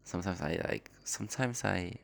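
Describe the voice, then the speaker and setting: A man talks in a low, gravelly voice. He rambles and hesitates, often apologizing and filling gaps with ums and likes. He speaks into a phone at home. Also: normal speed. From Indonesia. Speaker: podcast host. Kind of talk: casual unscripted introduction.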